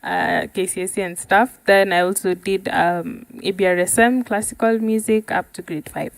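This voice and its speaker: A woman's voice: mid-range voice, steady tone, normal speed, creaky, no echo, poor audio. A woman with a Kenyan accent telling her story casually as an interview guest. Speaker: guest, sound engineer and musician.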